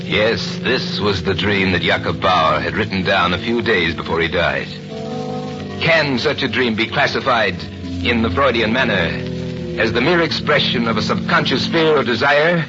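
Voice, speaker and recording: A man narrating an old-time radio drama in a formal, deliberate, portentous style. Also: fairly low pitch, expressive. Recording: dull old radio recording, music behind.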